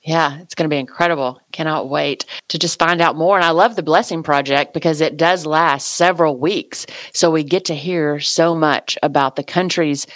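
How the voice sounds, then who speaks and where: A woman's voice: low voice, steady tone, moderate pace, hardly any pauses, dry recording. A woman hosting a casual interview in an upbeat, enthusiastic and encouraging way. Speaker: host, interviewer.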